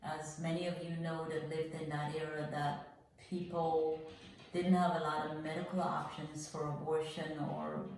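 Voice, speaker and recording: Older woman, from Guyana, low-pitched, reflective storytelling, far from the mic, faint hiss. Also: level tone, moderate pace, a little mellow, very echoey. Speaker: podcast guest. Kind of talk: personal life story.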